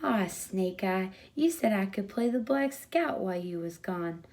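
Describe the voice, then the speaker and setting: A woman's voice: mid-range voice, animated, a little slow, darkish tone. A woman voicing a bossy, playful young boy playing scout, with demanding, folksy speech in a storybook reading. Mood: eager.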